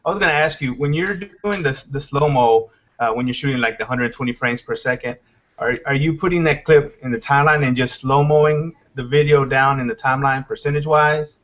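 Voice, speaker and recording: A man in his forties from Texas joins a casual video call as a guest. His voice is mid-pitched, and he explains technical steps plainly. The call audio is muffled and lo-fi. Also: brisk, fairly bright tone. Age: 40s.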